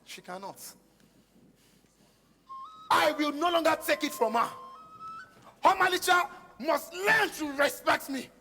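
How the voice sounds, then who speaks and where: A man's voice: extremely high pitch, expressive, a little slow, pauses often, sharp tone, exaggerated voice. A man acting a proud, hot-tempered young prince in a stage play, indignant and emphatic, in a reverberant hall. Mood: indignant, wounded pride. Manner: indignant, emphatic, repeating himself. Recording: reverberant theatre hall, music behind.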